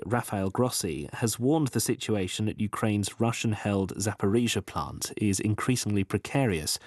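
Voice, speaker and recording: A man's voice: lowish voice, a little mellow, no echo, studio quality. A man presenting a news bulletin, reading from a script.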